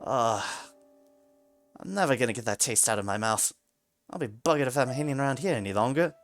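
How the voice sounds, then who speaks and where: A man voicing a blunt, quick-tempered young woman full of slang. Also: medium pitch, huge pitch swings, faint music behind, full character voice. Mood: disgusted. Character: brash rough-talking young woman.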